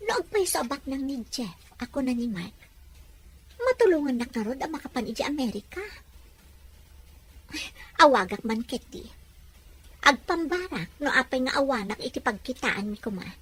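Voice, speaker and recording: A woman's voice: sits high, sing-song, normal speed, long pauses, faint music behind. A woman playing a scheming young woman who dreams of America, in a dialogue drama. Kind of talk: acted Ilocano radio-style drama.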